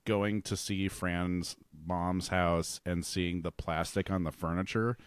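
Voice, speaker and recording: Man, low voice, dry studio sound, podcast co-host, casual review chat. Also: steady tone, slow pace, clean tone, no echo.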